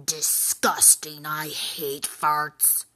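A woman voicing a big, terrifying, grumpy troll in a storybook tale, with a gravelly, husky growl and loud delivery. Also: little pitch movement, heavily acted. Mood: grumbling. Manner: slow, loud, booming delivery.

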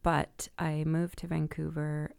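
A young singer-songwriter from Sweden talks about her life in a relaxed, laughing way. Her voice is very low and strongly gravelly, with heavy fry. The sound is close and dry. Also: takes it slowly, dry recording. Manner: relaxed, laughing, many fillers. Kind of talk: casual interview chat.

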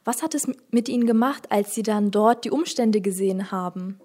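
A woman's voice: medium pitch, fairly quick, a little mellow. A woman interviewer who asks her questions hesitantly, with many filler sounds.

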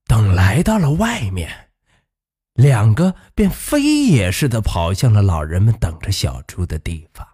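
A storyteller narrating a fairy tale for children in an expressive style. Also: medium pitch, unhurried, breathy edge.

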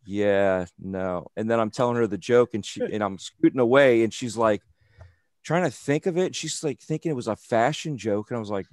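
A man's voice: on the low side, normal speed, no room sound, clean studio sound. A man hosting an interview, telling rambling anecdotes full of restarts. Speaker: interview host. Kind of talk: casual interview chat over a call.

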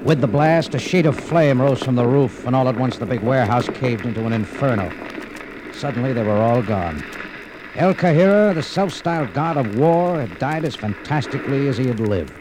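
An actor playing a tough, wry adventurer in an old radio detective drama, with punchy hardboiled delivery. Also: male voice, medium pitch, lively intonation, moderate pace, lightly acted. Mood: urgent, dramatic. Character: tough, wry male adventurer narrating his exploits. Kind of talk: 1940s-50s radio detective drama acting. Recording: slightly noisy old radio recording.

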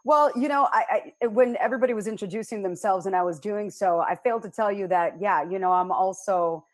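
A woman's voice: mid-range voice, brisk. Blunt, outspoken woman radio personality. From United States, south side of Chicago. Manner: outspoken and blunt, animated storytelling. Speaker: radio personality, panel guest. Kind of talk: candid panel discussion.

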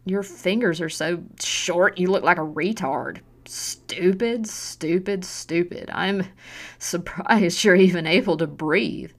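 A woman voicing a drunk, cruel mother who taunts and shouts with harsh scorn, in a storybook reading. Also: lowish voice, unhurried, long pauses. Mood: taunting, scornful. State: drunk, slurring. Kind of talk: audiobook narration.

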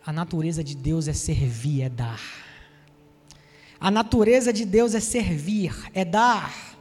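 A man in his forties from Salvador with a Bahian accent, preaching a sermon and telling personal stories, speaking to the congregation directly with lively asides. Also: a little high, measured pace, husky, in a large hall, soft background music. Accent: Bahian Brazilian Portuguese. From Salvador, Bahia, Brazil. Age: 40s.

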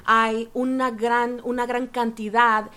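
A woman guest explaining a topic earnestly, step by step, often pausing on fillers. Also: on the high side, level tone, bright, forceful tone, smooth. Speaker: guest activist. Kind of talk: explanatory interview answers.

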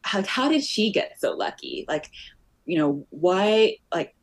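Low-pitched woman with a muddled Australian accent. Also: very animated, unhurried, gravelly. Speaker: podcast guest, company founder. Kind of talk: personal, reflective interview talk.

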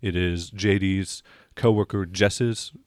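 A man's voice: low-pitched, steady tone, slow, no room sound, studio quality. A man running a tabletop role-playing game as game master, improvising the narration in a conversational way.

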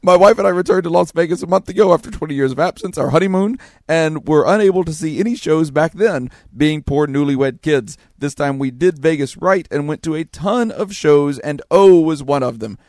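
A man's voice: on the high side, brisk, hardly any pauses, a little mellow, no echo, studio quality. A man co-hosting a podcast, chatting casually and reading listener messages aloud.

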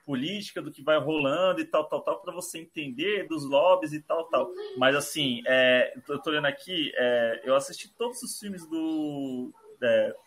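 A man's voice: a little high, moderate pace, hardly any pauses, a bit bright, a little hiss or hum. A Brazilian man co-hosting a casual film podcast, giving his opinions casually. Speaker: podcast co-host.

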